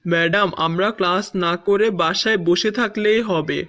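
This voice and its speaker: A woman's voice: lowish voice, measured pace, fairly bright tone. Lady doing a cheeky little cartoon schoolboy, acts all polite but smart-alecky with it. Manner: smart-alecky, mock-respectful. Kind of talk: Bangla cartoon joke dialogue.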